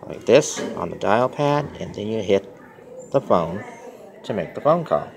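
A man explaining something step by step in plain, halting, repetitive short phrases, like a simple tutorial. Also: lowish voice, expressive, gravelly, crowd noise. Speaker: tutorial presenter. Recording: noisy handheld phone recording with clicks.

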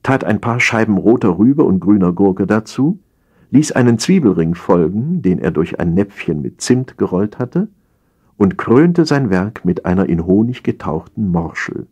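A man reading a scripted humorous story as an audiobook narrator, in an expressive, theatrical storytelling manner. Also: on the low side, unhurried, slightly rough.

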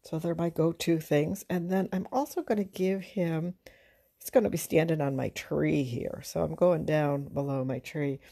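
A woman art teacher with a fairly low, soft-edged mellow voice talks through a drawing demonstration. She sounds informal and unhurried, pausing to think aloud, with some creak in her speech. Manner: halting, unhurried, conversational. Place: indoors at a drawing table.

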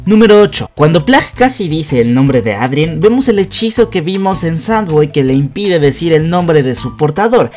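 A man's voice: fairly high pitch, expressive, normal speed, hardly any pauses, a little mellow. A young man with a Mexican accent presenting scripted fan commentary as a video host, in an emphatic, enthusiastic way. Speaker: YouTube host. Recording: voice-over with music behind.

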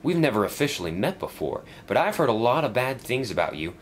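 A man's voice: medium pitch, very animated, quick pace, slight background noise, pitched above the performer's own voice, natural voice. A man voicing an old male steam engine, anxious and earnest, in a raised voice.

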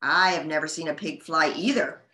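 A woman's voice: low voice, normal speed, bright, forceful tone, reverberant. A woman voicing a modest, friendly male goose who encourages and instructs in a children's storybook. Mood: kindly.

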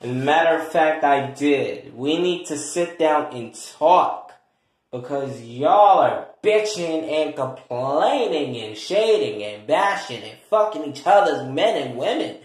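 A man's voice: on the high side, expressive, takes it slowly. A man playing a sassy, exasperated peacemaker, scolding and swearing emphatically in a comedy skit. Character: sassy, exasperated, meddling peacemaker who eggs on feuds. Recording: very echoey, reverberant room.

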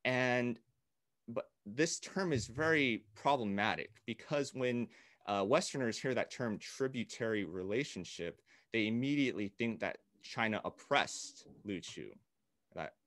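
A young man from Okinawa and Hawaii, a guest in an interview, speaking in a measured, deliberate way with frequent pauses and fillers. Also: medium pitch, animated, a bit bright, no echo, clean studio sound. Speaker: guest, peace activist.

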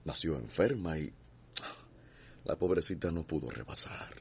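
An actor playing a cold, domineering landowner in a radio drama, imperious and threatening, with a Cuban accent and husky voice. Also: male voice, rumbling low, animated, unhurried, slight background noise, full character voice. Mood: cold, commanding. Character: cold, menacing, domineering male slaveholding landowner.

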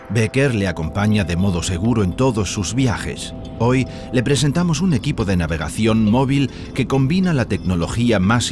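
A man narrates scripted promotional copy. His voice is on the low side, set over clearly heard music.

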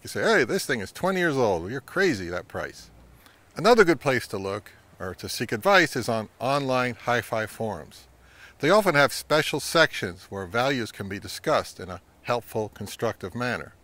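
A middle-aged man with a North American accent hosts an informal advice video. His intonation is lively and emphatic, moving freely up and down. The sound is dry and close, with no room reflections, as he talks outdoors. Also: mid-range voice, moderate pace, dry recording. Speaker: vintage audio channel host. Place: outdoors in woodland.

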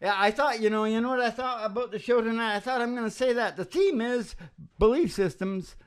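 A man's voice: very high-pitched, brisk, clean tone. An older man hosting a music show, bantering casually and playfully, often rambling and repeating himself. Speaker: radio show host and country singer. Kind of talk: casual joking banter between songs. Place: small wood-panelled room indoors. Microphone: stand microphone up close. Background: acoustic guitar playing.

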